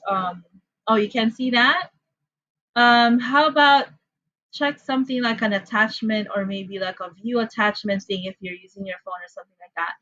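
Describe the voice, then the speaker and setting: A woman's voice: mid-range voice, fairly bright tone, muffled recording, slight background noise. A woman from the Philippines with a Filipino accent, teaching an online class in a chatty, apologetic way with frequent fillers. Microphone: computer mic on a video call.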